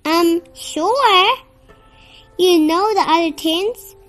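A child reading a children's picture book aloud in an expressive, somewhat halting way, with stumbles. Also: very high voice, faint music behind. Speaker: storybook reader.

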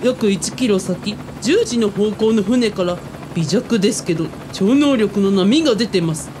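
A woman playing a goofy, lovestruck young male helicopter pilot in a comic radio drama, excitable and comically polite. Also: a little slow, lightly acted. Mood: eager. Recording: noisy helicopter ambience behind voice. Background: helicopter rumble.

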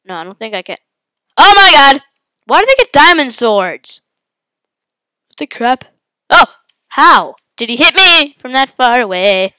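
A congested woman hosting her own gaming channel talks through a match as she plays. She yelps and exclaims at every turn in a fairly high, bright, forceful voice. Her voice is stuffy from a head cold, and the sound is dry and close. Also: a little slow, long pauses, dry recording. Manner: excitable, yelps and exclaims at the game. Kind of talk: live gameplay commentary.

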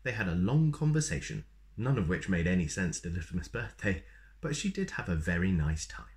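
Male storyteller, thirties, low voice, animated and playful read-aloud for young children. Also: brisk. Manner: expressive, playful. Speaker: storyteller reading a children's picture book. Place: small plain room indoors.

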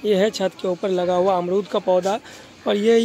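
A high-voiced man with a Bengali accent narrates an informal garden tour for a vlog. He speaks to viewers directly and often repeats his phrases. Faint outdoor noise and birds sit behind his voice. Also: flat delivery, normal speed, mellow. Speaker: gardening vlogger.

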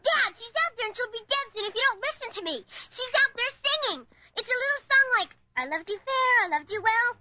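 Earnest, high-pitched young girl. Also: brisk, hardly any pauses, exaggerated voice. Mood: excited pleading. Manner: earnest, eager, expressive. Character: earnest, excitable young girl, determined and hopeful. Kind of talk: radio drama acting and first-person narration. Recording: radio drama with music underneath.

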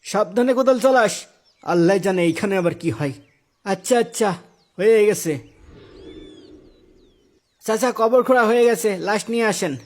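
A man voicing an excitable, anxious village gravedigger in cartoon dialogue, exclaiming urgently. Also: high-pitched, normal speed, long pauses, natural voice. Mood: uneasy.

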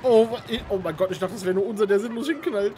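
A woman's voice: medium pitch, fast talker, dark tone, slight background noise. A woman gamer chatting casually over a game in exasperated, sweary banter. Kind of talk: casual gaming chat.

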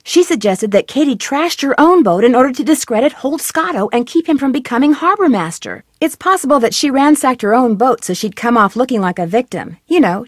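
A woman's voice: fairly high pitch, dry recording, clean studio sound. An actress voicing a young female amateur detective in a video game, inquisitive and chatty as she asks for hints and talks through clues. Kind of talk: studio video game voice acting.

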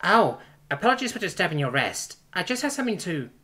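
A man's voice: very animated, fast, bright, forceful tone, full character voice. A man voicing a small, sweet alien princess, nervous and devoted, with polite, earnest phrasing. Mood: devoted, emotional. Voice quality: high, chirpy. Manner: polite, earnest, formal wording.

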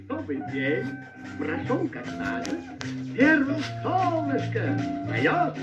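A woman singing a lively cartoon song, heard as sound from a television. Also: sits high, takes it slowly, loud music behind the voice, heavily acted. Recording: dull TV audio in an echoey room. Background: song accompaniment.

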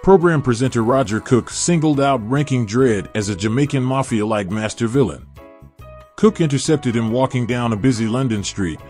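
A man giving a steady, measured scripted documentary narration. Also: mid-range voice, faint music behind.

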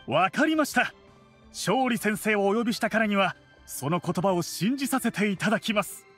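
A man's voice: sits high, expressive, normal speed, fairly bright tone, slightly rough, faint music behind, full character voice. An actor playing an eager merchant man in an anime game, very polite and deferential. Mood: resolved. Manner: obsequious, very polite. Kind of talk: Japanese anime game voice acting.

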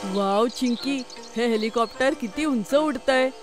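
A woman's voice: on the high side, unhurried, over music, natural voice. A woman playing a curious, eager young boy in a children's cartoon, speaking expressively. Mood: excited.

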